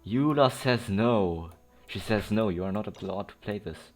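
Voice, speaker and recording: A man's voice: lowish voice, normal speed. A man hosting a let's play with casual, unscripted, rambling commentary, often repeating himself. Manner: rambling, self-repeating, informal. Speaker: gaming video host. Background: game music.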